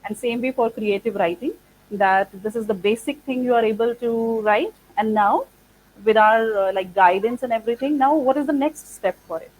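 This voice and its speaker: A woman whose first language is Punjabi, from India, answering interview questions as an institute founder. She speaks thoughtfully and fills her pauses with "like" and "uh". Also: fairly high pitch, a little hiss or hum, rough recording. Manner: thoughtful, many "like" and "uh" fillers. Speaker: founder of an English training institute.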